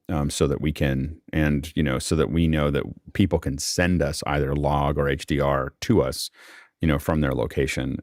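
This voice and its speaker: A man's voice: rumbling low, darkish tone, no echo, studio quality. A man hosting a live online panel in casual talk, thinking aloud in a rambling way and often repeating words. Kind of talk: casual spoken discussion. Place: remote video call.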